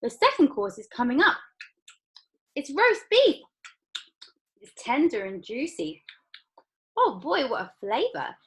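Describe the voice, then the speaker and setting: A woman's voice: sits high, wildly swooping pitch, takes it slowly, long pauses, fairly bright tone, voice pushed up, exaggerated voice. A woman voicing a boastful, greedy young girl in a storybook read-aloud, bratty and showy. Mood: gloating.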